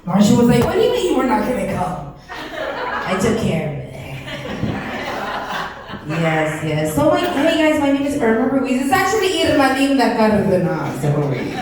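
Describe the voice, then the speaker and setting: A high, lively woman's voice with a South Texas Chicana accent, delivering a brash, giggly stand-up set and swearing freely. She is heard from a distance in a very echoey club, with a loud crowd reacting around her. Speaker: stand-up comedian. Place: comedy club stage.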